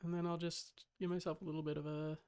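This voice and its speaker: Casual livestreamer, very creaky lower-pitched voice, scattered muttering while setting things up. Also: flat delivery, fast, a little mellow. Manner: muttering, scattered, self-interrupting. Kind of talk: casual livestream chat, talking to self.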